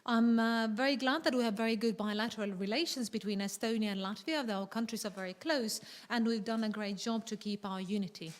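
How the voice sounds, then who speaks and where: Higher-voiced woman interpreting at a press conference. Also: fairly quick, hardly any pauses. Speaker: moderator and interpreter. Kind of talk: consecutive interpreting.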